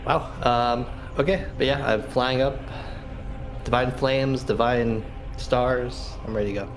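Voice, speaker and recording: A man's voice: mid-range voice, steady tone, unhurried, noisy background. A man chatting casually as a player in a tabletop roleplaying game. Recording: loud music under the voice. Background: loud background music.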